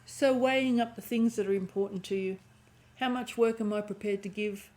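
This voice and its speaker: A woman with a croaky voice and a lingering cough giving an intuitive tarot reading, thinking aloud and often restarting her phrases. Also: mid-range voice, steady tone, normal speed, a little mellow, poor audio. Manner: musing aloud, restarting phrases, clearing throat. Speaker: tarot reader.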